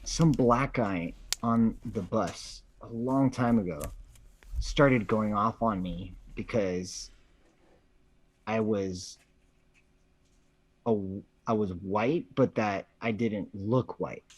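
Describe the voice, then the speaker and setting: A man with a husky voice chats casually as a co-host, slangy and profane, repeating phrases for emphasis. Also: on the low side, slow, no room sound. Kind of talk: casual opinionated chat.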